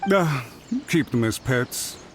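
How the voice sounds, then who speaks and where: An actor voicing a smug, evasive, scheming official in a video game, with a pompous, theatrical manner. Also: male voice, mid-range voice, lively intonation, slow pace, a little hiss or hum. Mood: wary, affronted. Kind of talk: video game dialogue acting.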